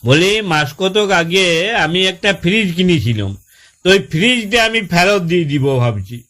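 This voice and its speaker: Man playing a very high-pitched, exasperated village husband. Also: expressive, unhurried, sharp tone, full character voice. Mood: aggrieved. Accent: rural West Bengal Bengali. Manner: folksy, long-winded storytelling with exasperated repetition. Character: aggrieved village husband complaining about his new fridge. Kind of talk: comic prank-call style phone skit.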